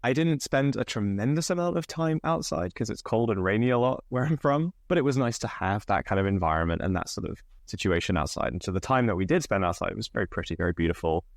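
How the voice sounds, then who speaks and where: A man in his thirties with an English accent, slightly northern, telling stories from his life as a podcast guest, relaxed with lots of fillers. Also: fairly low pitch, fast, creaky, few pauses, no echo, clean studio sound. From England. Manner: relaxed, many fillers, laughs often. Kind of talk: candid conversational storytelling.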